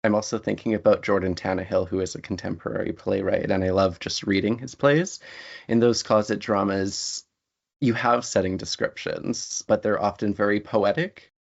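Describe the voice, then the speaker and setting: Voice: deep voice, fairly even intonation, normal speed, gravelly. A writer, speaking in an articulate, reflective way as a guest in an interview conversation. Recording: dull lo-fi audio.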